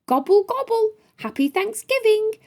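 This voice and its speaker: Storybook role: greedy, friendly tom turkey, played by a woman, very high voice, gobbling exclamations. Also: sing-song, normal speed, darkish tone. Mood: cheerful.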